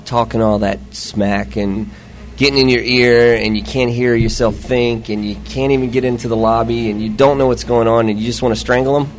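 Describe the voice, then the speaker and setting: A man's voice: medium pitch, steady tone, fairly quick. Teasing male gaming show host. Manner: teasing, playful. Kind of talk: casual chat.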